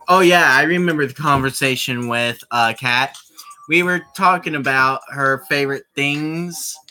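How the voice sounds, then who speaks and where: Casual game streamer, sharp bright delivery, slow pace with repeated phrases, music underneath. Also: medium pitch. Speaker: streamer playing a visual novel. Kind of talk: casual livestream commentary with read-aloud.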